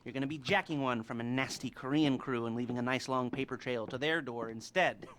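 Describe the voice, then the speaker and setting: An actor playing a creepy, awkward middle-aged criminal planner in a video game, smug and stammering with nervous chuckles. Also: male voice, mid-range voice, fairly quick, background noise, close to an everyday voice. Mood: smug, bossy. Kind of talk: video game cutscene acting, halting improvised-sounding speech.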